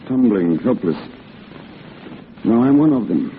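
An actor playing a blinded war veteran, proud and resigned, with measured, polite delivery in a 1940s radio drama. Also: male voice, medium pitch, expressive, lightly acted. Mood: resigned, guarded. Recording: tinny, hissy old radio broadcast.

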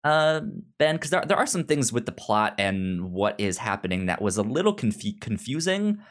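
A podcast host in a relaxed book discussion, with a voice of middling pitch. The delivery is halting, with words restarted and syllables repeated in a frequent stammer. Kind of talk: casual book review chat.

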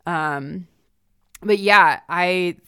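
A woman's voice: on the low side, drawn-out words, sharp tone, clear, resonant tone. A woman in a casual, informal discussion, speaking with enthusiasm as a podcast host. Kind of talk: casual chat.

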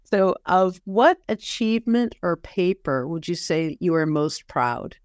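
Lower-pitched woman hosting an interview. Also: lively intonation, a little slow, dry recording, studio quality. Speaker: podcast interviewer and host. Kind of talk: prepared interview questions.

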